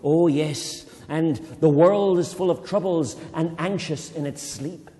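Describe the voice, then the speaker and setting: An Irish man reading a poem aloud to children, reciting slowly and dramatically and breaking off into playful, chatty explanations. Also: a little high, warm tone. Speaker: poetry reader leading children. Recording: long reverb in a hall.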